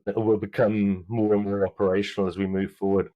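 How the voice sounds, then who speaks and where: A man with a low voice gives interview answers about his work. He speaks haltingly, often restarting words. The sound is dry and close, with no room around it. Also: level tone, dry recording, lo-fi sound. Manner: halting, many restarts and fillers. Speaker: mining company executive, guest.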